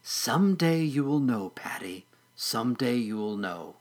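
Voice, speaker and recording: A storyteller voicing a patient older sister caterpillar in a soft, soothing tone. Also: mid-range voice, animated, measured pace. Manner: soft, soothing, sometimes whispered.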